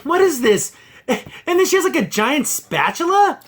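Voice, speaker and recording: A high-pitched male voice in a casual review chat. He recaps as a podcast host would, with an exasperated, mocking edge. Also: sing-song, measured pace. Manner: exasperated, scornful.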